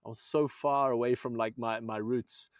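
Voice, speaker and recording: Man from Zambia, movement coach, earnest rambling talk, mid pitch, dry close recording. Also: fairly even intonation, normal speed, smooth, no room sound. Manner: earnest, rambling, many restarts, keeps saying "man". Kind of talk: casual podcast conversation.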